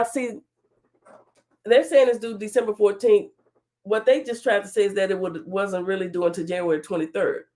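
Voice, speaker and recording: A woman's voice: medium pitch, darkish tone. A middle-aged woman with a stuffy cold, hosting her own talk show and reading court papers aloud, breaking off for sassy, chatty asides. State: stuffy, under the weather. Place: home studio with virtual background.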